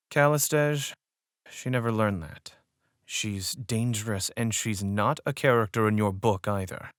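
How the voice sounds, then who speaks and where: A roguish male petty crook in an audio drama , played by a man. His mid-pitched voice moves up and down a lot. He sounds cocky, wry and streetwise, recorded dry and close. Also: fairly bright tone, no room sound.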